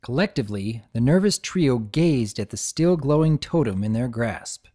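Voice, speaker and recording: A woman narrating a story in an expressive dramatic reading. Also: very deep voice, moderate pace.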